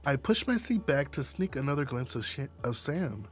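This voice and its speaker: A streamer reading a visual novel aloud, with expressive narration and sassy, slangy asides. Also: mid-range voice, normal speed.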